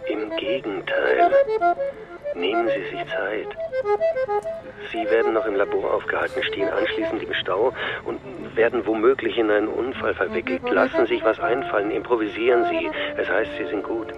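A male actor plays a stubborn, unhurried police commissioner in a radio crime drama. His voice sits low, and he talks in terse, calmly insistent lines. Loud music runs behind him. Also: highs cut off, lightly acted. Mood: calm, commanding.

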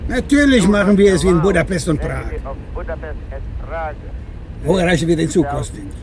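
An actor playing a ruthless commanding pursuer in a radio play, barking imperious orders. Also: male voice, high voice, big pitch swings, normal speed, crowd noise. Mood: harsh, commanding. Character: ruthless, commanding male pursuer hunting fugitives on a train. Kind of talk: radio drama acting.

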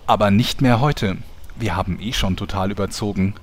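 Low-voiced man as a wry storyteller. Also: moderate pace, slight background noise, close to an everyday voice. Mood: wry, signing off. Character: wry male storyteller of a fantasy soap. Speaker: narrator. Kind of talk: scripted narration.

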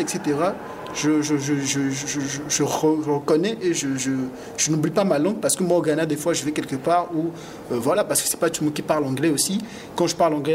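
A man speaks in a slightly higher register, earnest and animated, stumbling over repeated words as he reaches for his point. Steady background noise sits under the recording. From Togo. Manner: earnest, animated, with frequent repetitions and restarts. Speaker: rapper and activist guest. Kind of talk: conversational interview answers.

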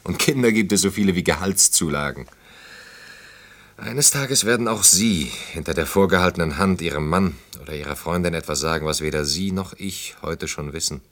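A man's voice: sits low, normal speed, a bit bright. An actor playing a wry, disillusioned man of about thirty in a radio play, speaking in a dry, ironic, musing way. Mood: sardonic musing. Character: wry, sceptical man around thirty, gently teasing, disillusioned. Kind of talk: German radio play dialogue.

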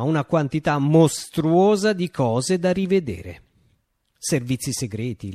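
A man performing a radio monologue as a reflective revolutionary recalling a utopian uprising, with deliberate phrasing and dramatic pauses. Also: fairly high pitch, a little mellow, dry recording. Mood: wry, emphatic.

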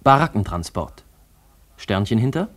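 An actor playing a detached researcher who narrates in a clipped, matter-of-fact way in a radio play. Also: male voice, lowish voice, sing-song, moderate pace, a bit bright. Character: detached male researcher studying archive records on screen.

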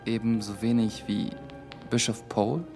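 A man's voice: on the low side, little pitch movement, normal speed, natural voice. A young actor in a German radio drama playing an inquisitive, doubting young man. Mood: questioning. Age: young adult. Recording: music playing underneath.

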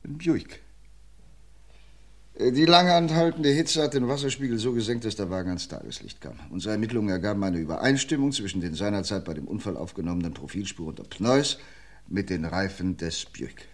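An actor playing a shrewd, dogged police inspector in an old radio crime play, theatrical and swinging from excited outbursts to quiet menace. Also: male voice, lowish voice, brisk. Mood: measured. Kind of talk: 1950s-60s radio crime play acting.

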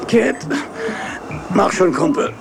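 A driver in a dubbed TV drama talks informally and emotionally in a creaky, fairly high voice. He takes his time, and loud music runs behind. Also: lively intonation, slow pace, full character voice. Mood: urgent pleading. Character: male driver urgently pleading with his talking car. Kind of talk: dubbed TV series acting.

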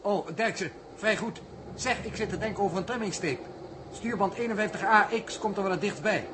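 An actor playing a matter-of-fact spaceship navigator in a 1960s science fiction radio drama. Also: male voice, high-pitched, fairly quick, noisy background, close to an everyday voice.

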